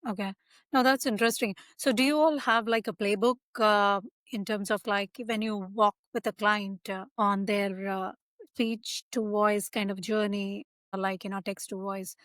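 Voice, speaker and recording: A woman's voice: medium pitch, steady tone, normal speed, fairly bright tone, no echo. A woman hosting a podcast, asking questions in a friendly, conversational way. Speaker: podcast host. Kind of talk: interview hosting.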